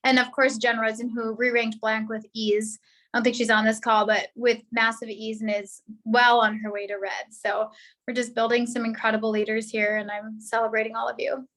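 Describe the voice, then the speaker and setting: A woman on a team video call, giving upbeat, celebratory shout-outs to colleagues. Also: fairly high pitch, little pitch movement, normal speed. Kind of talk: casual video-call speech.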